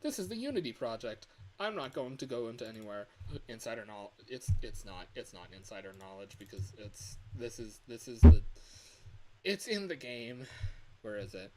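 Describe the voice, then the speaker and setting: A man talks casually on a livestream as an amateur game developer. His voice sits at an average pitch for a man. He rambles in a loose, unscripted way, often repeating a phrase before moving on. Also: expressive, moderate pace, background noise.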